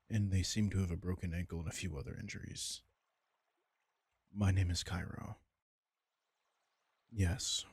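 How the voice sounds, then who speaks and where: A man playing a protective, worried vampire king in an intimate comfort audio roleplay, speaking in a hushed, tender, deliberate way with a gravelly, husky voice. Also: low-pitched, no echo, exaggerated voice.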